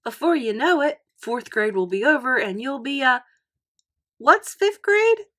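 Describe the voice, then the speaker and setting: Woman acting a high, gushing, doting schoolteacher. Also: very animated, bright, forceful tone, heavily acted. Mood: comforting, playful. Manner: cooing, drawn-out, fond.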